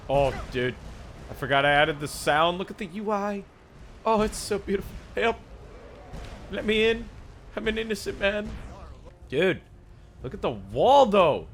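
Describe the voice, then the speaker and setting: A young man with a raspy, sore-sounding voice, casually commenting on his gameplay, often breaking into excited yelps between low mumbles. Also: unhurried, long pauses, slight background noise. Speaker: gaming YouTuber.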